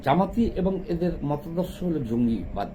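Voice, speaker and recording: A man's voice: a little high, big pitch swings, normal speed, background noise. A middle-aged man with a Bangladeshi accent delivers unscripted, opinionated political commentary, scolding and repeating phrases for emphasis.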